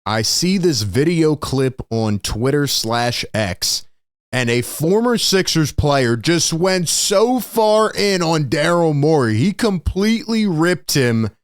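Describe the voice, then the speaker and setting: A man hosting a sports reaction show, reacting excitedly and sounding slightly hoarse from yelling. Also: medium pitch, no echo, studio quality. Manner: excitable, animated exclamations. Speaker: sports podcast host. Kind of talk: live reaction commentary.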